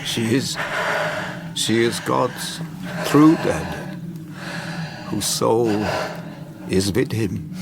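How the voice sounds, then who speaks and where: Extremely slow, gravelly solemn man. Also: mid-range voice, very noisy. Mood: solemn, consoling. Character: solemn male vampire hunter pronouncing a soul at peace.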